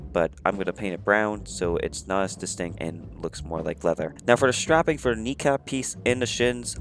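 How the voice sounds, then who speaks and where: Sick guy, raspy and kinda monotone, lowish voice walking thru a craft tutorial, soft music under it. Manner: plain step-by-step instructions, many "you're gonna". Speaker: cosplay prop maker, channel host. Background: faint music.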